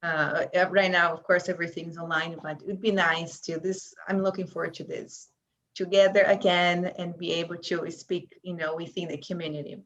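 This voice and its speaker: A woman's voice: lowish voice, level tone, moderate pace, a bit bright. A woman speaking hesitantly on a video call as a parent, with many fillers. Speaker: participant parent. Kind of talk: casual video call chat. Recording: muffled lo-fi call audio.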